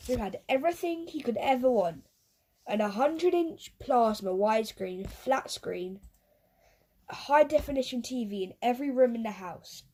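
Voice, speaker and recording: Woman, slightly high voice, children's audiobook narration, expressive storyteller, occasional stumbles. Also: poor audio. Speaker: audiobook reader.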